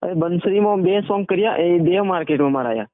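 High-pitched man on a phone. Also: fast talker, no room sound. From Gujarat, India. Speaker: singer and band owner being interviewed. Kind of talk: casual phone interview. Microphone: phone call. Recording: tinny narrowband phone line.

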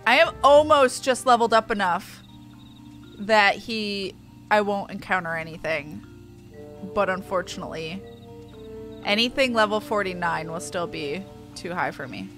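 A woman game streamer giving casual, excitable live commentary and swearing freely. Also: mid-range voice, normal speed, soft background music.

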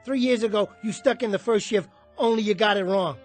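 An actor playing a bitter, washed-up small jockey in a radio drama, husky and combative, talking in streetwise slang. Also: male voice, high-pitched, brisk, soft background music. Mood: belligerent.

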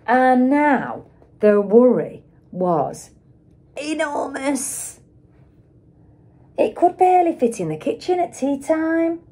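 A middle-aged woman reads a children's picture book aloud like a teacher, with dramatic emphasis and pauses for young listeners. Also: high voice, lively intonation, dark tone, slightly rough. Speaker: schoolteacher reading aloud.

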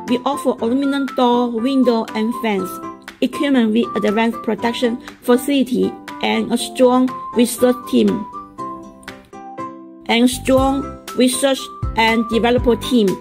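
Female factory presenter, Chinese accent, fairly high voice, halting scripted delivery over music. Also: steady tone. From China. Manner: halting reading, stumbling over and repeating phrases. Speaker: factory representative presenting products. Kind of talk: scripted promotional narration.

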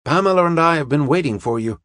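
Man voicing upbeat, higher-pitched young American man. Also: lively intonation, fast talker, a bit bright, no echo. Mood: cheerful. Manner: informal, upbeat. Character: cheerful, brisk young American man, newly engaged.

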